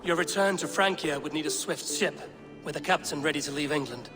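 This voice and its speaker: An actor playing a stalwart Viking warrior in a video game, plain-spoken, terse and firm. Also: male voice, a little high, a little fast, natural voice. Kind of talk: video game cutscene acting. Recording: prominent music behind the voice.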